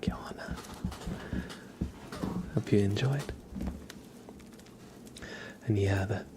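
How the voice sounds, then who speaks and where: A man hosts an ASMR livestream in a crackly whisper. He speaks very slowly and casually, in halting phrases addressed to his viewers. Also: on the low side. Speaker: livestream host.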